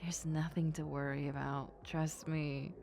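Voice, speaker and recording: A woman's voice: low-pitched, level tone, a little slow, vocal fry, darkish tone, slight background noise. A woman playing a tipsy, coy popular schoolgirl who speaks hesitantly and giggles, in an intimate roleplay addressed to the listener. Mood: teasing, coy. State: acting drunk.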